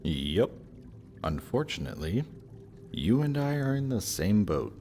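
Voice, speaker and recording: A man's voice: low voice, sing-song, measured pace, dark tone, a little hiss or hum, heavily acted. A man playing a death god's smug, lovestruck young son in a romantic audio roleplay, talking casually and teasingly to the listener. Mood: persuasive, casual.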